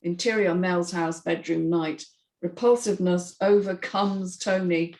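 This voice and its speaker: A woman's voice: on the low side, fairly even intonation, a little slow, darkish tone. A woman reading screen directions aloud and moderating a script discussion. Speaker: host of script read and feedback session.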